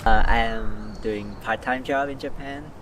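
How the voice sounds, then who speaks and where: A man's voice: mid-range voice, a little slow. A young man casually telling interview stories in a loose, slangy way. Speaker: student interviewee. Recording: distant mic with clear outdoor noise.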